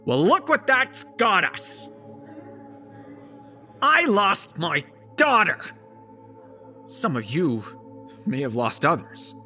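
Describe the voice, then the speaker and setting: An actor playing a bitter, middle-aged grieving father in an audio drama, speechifying accusingly at a town with drawn-out emphasis. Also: on the high side, bright, forceful tone, full character voice. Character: bitter grieving father rousing a town against his enemy.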